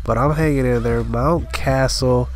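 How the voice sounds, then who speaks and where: A man with a stuffy voice from a cold, giving relaxed gameplay commentary and thinking aloud with frequent fillers. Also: mid-range voice, unhurried, a little hiss or hum. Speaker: gaming channel host.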